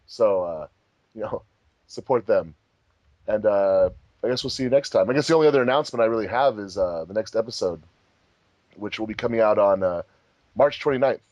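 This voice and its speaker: A man's voice: on the low side, brisk, pauses often, darkish tone, no echo, lo-fi sound. A man hosting a casual group chat in a jokey, rambling, giggly way. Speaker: host of a fandub group commentary.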